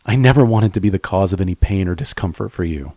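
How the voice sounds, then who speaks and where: A man performs a devoted, tender friend turned lover in a romantic audio drama. His voice is deep, dark and soft-edged with some huskiness. He speaks straight to the listener in an earnest, halting way. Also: no room sound. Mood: anxious, apologetic. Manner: halting, earnest, with stammered repeats.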